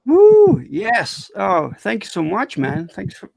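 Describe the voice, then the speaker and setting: A man from the Netherlands with a Dutch accent, a comic book creator chatting as a guest on a video call, halting with many ums and restarts. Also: sits high, huge pitch swings, gravelly, a little mellow, a little hiss or hum. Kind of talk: casual interview chat.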